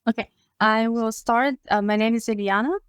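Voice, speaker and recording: A woman from Bulgaria with a Bulgarian accent, a guest telling her story in a relaxed, measured way with frequent pauses and fillers. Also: on the high side, smooth, no room sound, clean studio sound. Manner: measured, with frequent ums and uhs. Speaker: interview guest. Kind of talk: casual conversation.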